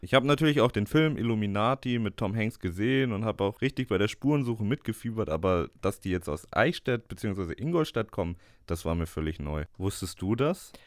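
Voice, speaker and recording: A young man from Bavaria, co-hosting a student podcast in a relaxed, easygoing chat. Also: fairly low pitch, brisk, hardly any pauses, no room sound, clean studio sound. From Bavaria, Germany. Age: young adult. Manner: relaxed, laughs easily. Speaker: podcast co-host, journalism student. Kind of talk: casual scripted podcast chat.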